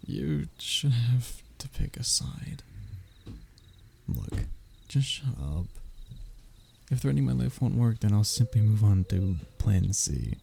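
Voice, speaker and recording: A man's voice: fairly low pitch, expressive, slow, gravelly, a little mellow, slight background noise, heavily acted. A man playing a stubborn, scheming, lovestruck young human royal held captive, in a close audio roleplay with flowery wording and flustered stammers. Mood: indignant, stubborn. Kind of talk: audio roleplay acting, addressing the listener closely.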